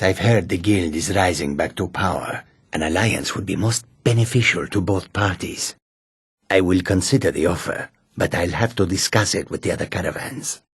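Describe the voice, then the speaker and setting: Husky, gravelly cat-folk trader. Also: male voice, low-pitched, no echo, studio quality, exaggerated voice. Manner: measured, formal, guarded. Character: wary, shrewd male cat-folk caravan trader. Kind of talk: video game dialogue.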